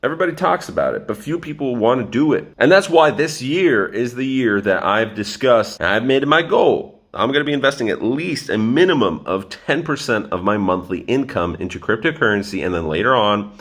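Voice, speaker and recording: A man in his twenties talking straight to his viewers in a casual, energetic explainer about money. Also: medium pitch, a little fast, few pauses. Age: 20s. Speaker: personal finance YouTuber.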